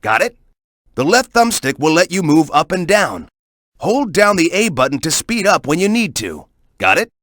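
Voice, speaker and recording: A cocky young guy from a video game, with a fairly high voice that swings freely up and down. He hands out tips and encouragement in a casual, peppy manner, like a sidekick guiding the player. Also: very animated, normal speed, a bit bright, no echo, clean studio sound. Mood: helpful, instructive.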